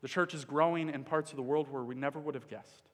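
A man from the Dominican Republic preaching a sermon in an earnest, measured way, pausing with fillers. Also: a little high, fairly bright tone. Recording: long hall reverb.

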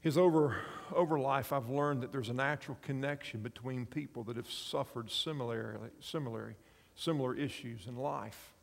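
A pastor in his fifties preaches with a gravelly, creaky voice, recounting a story earnestly and checking that his listeners follow. The sound rings out with the long reverb of a big hall. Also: mid-range voice, moderate pace, few pauses. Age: 50s. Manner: earnest storytelling, asks the congregation along. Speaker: pastor and chaplain. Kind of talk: sermon.

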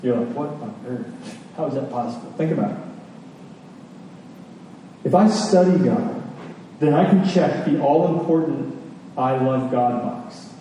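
A man preaching a sermon and teaching scripture, earnest and emphatic, with rhetorical questions, pauses and repetition. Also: mid-range voice, dark tone. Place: large reverberant room. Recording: echoey hall, distant mic, faint noise.

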